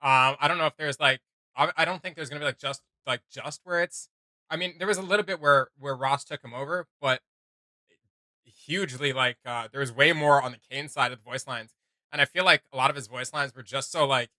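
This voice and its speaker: A man's voice: mid-range voice, a little fast, bright, forceful tone, no room sound. A man hosting a reaction video, chatting casually in a hesitant, rambling way. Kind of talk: casual chat.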